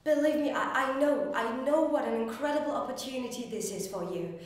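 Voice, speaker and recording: A woman's voice: sits high, brisk, close to an everyday voice. A woman performing a dramatic monologue as an anxious, devoted young woman, earnest and emotional as she pleads with her lover. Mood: anxious pleading. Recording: very echoey room, faint noise.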